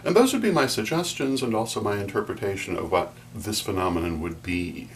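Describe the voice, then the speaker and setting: A middle-aged man giving a formal, measured explanation to camera as a vlog host. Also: fairly low pitch, expressive. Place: small furnished room at home, indoors. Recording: distant mic, faint hum.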